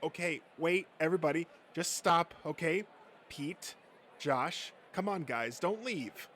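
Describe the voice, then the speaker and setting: A man's voice: fairly high pitch, unhurried, a bit bright, a little hiss or hum. A man voicing an anxious male nerd in a comic dub, a pleading and hesitant peacemaker. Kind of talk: comic dub character acting.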